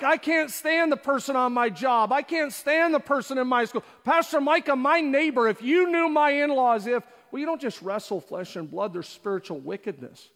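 Pastor, male, high voice, preaching with rhetorical questions, reverberant church auditorium. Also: a bit bright. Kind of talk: sermon. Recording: long hall reverb.

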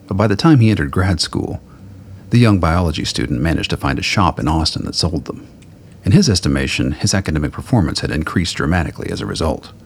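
A man narrating a scripted radio play in a measured, literary storytelling manner. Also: low voice, slight background noise.

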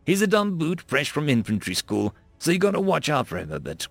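A man's voice: a little high, wildly swooping pitch, fairly quick, gravelly, no room sound, faint music behind, voice pushed up, heavily acted. A man voicing a wry, easygoing Marine squadmate with casual, explanatory banter in an audiobook. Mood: wry, friendly.

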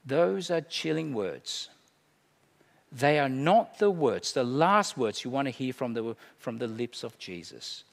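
A middle-aged man preaching a sermon, emphatic, swinging between hushed and loud delivery. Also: mid-range voice, big pitch swings, measured pace, creaky. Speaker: pastor. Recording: long hall reverb.

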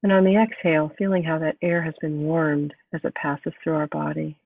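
A woman hosting a podcast, calm and unhurried as she guides a meditation, sounding nasal from a cold. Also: low-pitched, vocal fry, no echo. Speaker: podcast host. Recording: thin phone-like sound, distant from mic.

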